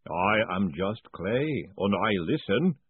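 Slow, rumbling clay golem. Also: male voice, slightly rough, no room sound, exaggerated voice. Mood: ponderous calm. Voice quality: booming, rumbling. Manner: slow, ponderous, infuriatingly calm. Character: huge calm clay golem, ponderous male servant. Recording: muffled phone-like audio.

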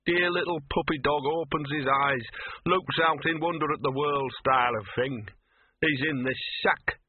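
Storybook talking mutt, male narrator performing: small, hoarse, tipsy, grumbling cynic full of self-pity; narrow radio-like sound. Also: on the high side, normal speed, dry recording, voice pushed up. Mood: maudlin self-pity. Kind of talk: audiobook character voice.

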